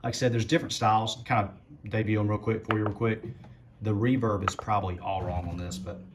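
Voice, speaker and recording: A man with a low voice giving a casual tutorial, talking through settings as he adjusts them. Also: fairly quick, loud music behind the voice. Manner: relaxed, talks while adjusting settings. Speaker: musician demonstrating gear.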